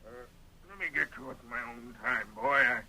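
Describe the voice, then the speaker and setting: An actor playing a crusty, bossy old rancher with an American Western accent, telling things in a folksy, cantankerous way in old-time radio style. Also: male voice, medium pitch, sharp tone, husky, exaggerated voice. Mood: grim recollection. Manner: folksy, cantankerous, deliberate storytelling. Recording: thin, tinny old radio recording.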